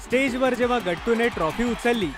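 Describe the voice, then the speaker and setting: Male narrator, high pitch, scripted kids' storytelling, clear music bed. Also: a little fast.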